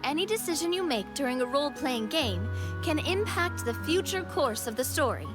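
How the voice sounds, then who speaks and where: A woman's voice: high-pitched, lively intonation, moderate pace, music in the background. An actress voicing a cheerful young woman who explains the rules of the game in a video game.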